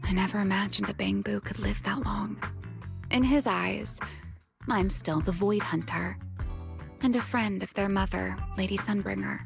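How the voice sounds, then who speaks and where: A sly actress-voiced huntress from a video game story speaks in a mid-pitched female voice, coy and playfully teasing. She keeps her secrets with unhurried poise, over faint background music. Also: vocal fry, lightly acted. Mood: calm, reminiscing. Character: teasing, secretive, long-lived woman hunter with sly poise.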